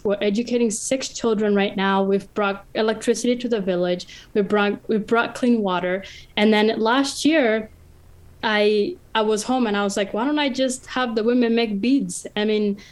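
A young woman around twenty, from northern Tanzania, telling her own story in an earnest, open way with a few fillers and restarts. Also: mid-range voice. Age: about 20. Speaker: interviewee, university student. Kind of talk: casual personal account.

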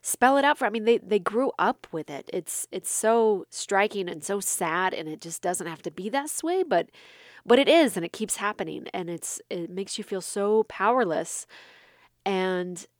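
A woman's voice: mid-range voice, animated, normal speed, no echo, studio quality. A woman hosting a morning radio interview, speaking thoughtfully and searching for words. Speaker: radio host.

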